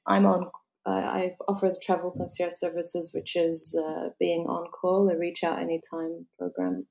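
A woman from Lebanon, whose first language was French, answers interview questions with a gravelly edge to her voice. Her tone is dark and mellow. She talks thoughtfully, restarting and filling pauses often. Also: on the low side, low-quality recording. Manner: thoughtful, many restarts and fillers. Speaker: travel concierge.

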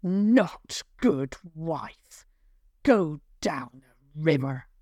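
Storybook troll, performed by a woman: enormous, mean, gruff and raspy, very slow broken sentences. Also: low-pitched, lively intonation, no room sound, studio quality, exaggerated voice. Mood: gruff, menacing. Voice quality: loud rasp, breathy and rough. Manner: blunt broken sentences, drawn out.

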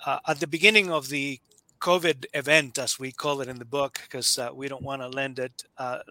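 A man's voice: medium pitch, steady tone, moderate pace, bright, forceful tone, dry recording. A man in his fifties from Nicaragua, talking as a guest author in a casual interview, hesitant with many fillers and restarts.

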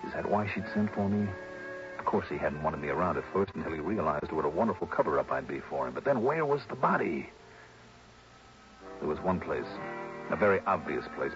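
An actor playing a shrewd, hard-boiled private investigator in mid-century radio drama, with a clipped, wry, probing way of talking. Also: male voice, very deep voice. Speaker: star of the show. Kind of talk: 1950s-60s radio drama acting and first-person narration. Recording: dull vintage radio recording with music.

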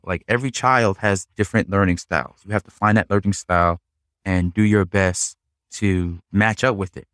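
A man's voice: lowish voice, no echo, clean studio sound. A man hosting an interview, affirming his guest and checking his understanding with questions. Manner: warmly affirming, repeats himself, asks checking questions. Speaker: podcast host. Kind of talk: casual interview conversation.

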